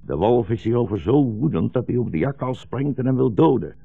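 An actor playing a weary, gentle old blind rabbi in a 1960s radio drama, speaking slowly and solemnly as a philosophical storyteller. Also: male voice, medium pitch, animated, gravelly, breathy edge, soft background music. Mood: grave. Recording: dull, roomy 1960s radio recording.

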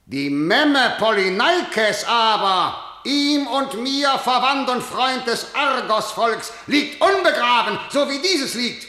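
Stern commanding tyrant in a verse radio play, slow and bright-toned, in a reverberant hall. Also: male voice, extremely high pitch, lively intonation, full character voice. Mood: proclaiming. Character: harsh, stern male tyrant ruling a city. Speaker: ruler of the city. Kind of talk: classical verse radio drama.

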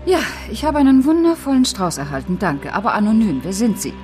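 An actress as a lively-voiced, harried woman teacher. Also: medium pitch, brisk, loud music behind the voice, natural voice. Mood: wary, annoyed. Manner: articulate, stern, easily flustered. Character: harried, indignant 40-year-old female schoolteacher. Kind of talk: radio crime drama acting.

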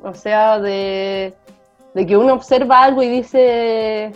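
A woman's voice: fairly high pitch, level tone, slow. A woman with a Chilean accent chatting casually as a podcast co-host. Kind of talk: casual chat. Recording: dull lo-fi audio, music bed.

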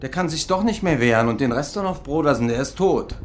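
An actor playing a sceptical, short-tempered investigator in a radio drama, questioning in clipped, pressing phrases. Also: male voice, on the high side, animated, quick pace, slight background noise, close to an everyday voice. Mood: angry.

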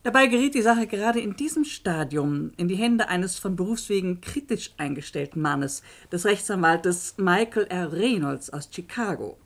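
Measured, lowish-voiced female narrator. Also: lively intonation. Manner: measured, dry, articulate. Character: assured female doctor narrating a case report. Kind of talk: scripted narration in a German radio play.

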